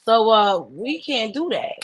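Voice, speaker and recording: A woman's voice: a little high, sing-song, takes it slowly, a crowd in the background. A woman co-hosting a casual podcast, blunt and fired up, swearing as she argues her point. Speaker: podcast co-host. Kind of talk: heated casual chat.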